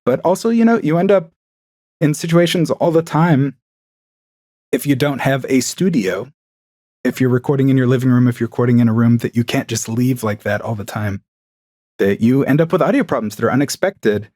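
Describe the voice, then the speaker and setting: A man's voice: medium pitch, normal speed, a little mellow, no echo. Chatty, enthusiastic man, dry sound. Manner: enthusiastic, chatty, with frequent fillers. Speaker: podcast guest, comedian and podcaster. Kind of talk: casual chat. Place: home recording room with acoustic paneling.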